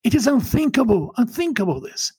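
A man's voice: very high-pitched, sing-song, a little fast, darkish tone, husky, no room sound. A man from Brazil with a Brazilian Portuguese accent, a guest on a podcast interview who speaks in an emphatic, fervent preaching tone and often restarts his sentences.

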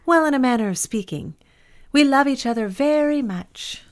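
Worldly married gal pal, poised, reassuring, big sister type, fairly high voice, old radio drama. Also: female voice, lively intonation, normal speed. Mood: matter-of-fact. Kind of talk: period radio drama acting.

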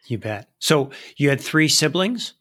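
A man's voice: on the high side, expressive, a little slow, pushed and bright, husky, no room sound, studio quality. A man hosting an interview, delivering introductions and questions to a guest. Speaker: interviewer and host.

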